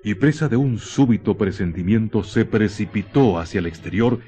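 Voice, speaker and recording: A man narrating a scripted radio horror drama in a grave, dramatic manner with deliberate pauses. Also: fairly low pitch, warm tone.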